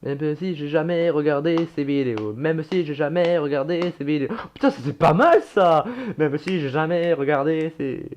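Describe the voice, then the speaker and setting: A man's voice: a little high, moderate pace, few pauses, darkish tone, highs cut off. A young man casually reacting to his own old videos, chatty and self-mocking, swearing and laughing at himself.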